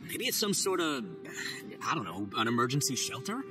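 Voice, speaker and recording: A man's voice: mid-range voice, very animated, fairly quick, over music, lightly acted. A man as a brash, boastful oni boss. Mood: swaggering. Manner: loud, boastful, goofy, shouting. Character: boisterous brash young oni gang boss, male. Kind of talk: video game cutscene voice acting.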